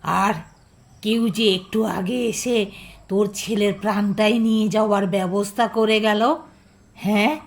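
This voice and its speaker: Slow, creaky, menacing sorceress. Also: female voice, mid-range voice, steady tone. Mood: scornful, threatening. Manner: slow, drawn-out, ominous taunting. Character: menacing, accusing female village tantric sorceress. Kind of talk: Bengali horror audio drama acting.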